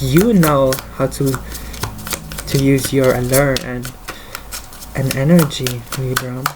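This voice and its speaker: A man's voice: medium pitch, background noise. A man with a French accent, a native French speaker, giving a casual tarot card reading in English, talking hesitantly with many fillers. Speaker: tarot reader.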